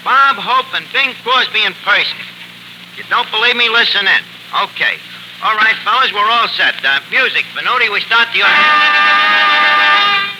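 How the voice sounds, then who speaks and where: An actor playing a slangy, wheedling, self-assured tavern manager in a 1940s radio comedy, with colloquial, hesitant talk. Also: male voice, very high-pitched, lively intonation, moderate pace, music in the background. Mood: boastful. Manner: colloquial, hesitant, mangles sayings. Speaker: comedy show star. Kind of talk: 1940s radio comedy acting before an audience. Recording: noisy 1940s radio broadcast recording.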